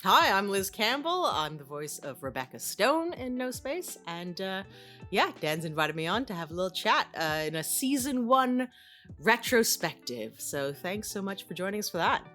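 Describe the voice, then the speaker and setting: A woman's voice: medium pitch, very animated, normal speed, fairly bright tone, soft background music. A woman chatting casually as she interviews, teasing playfully with a touch of mock formality. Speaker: voice actor and podcast co-host.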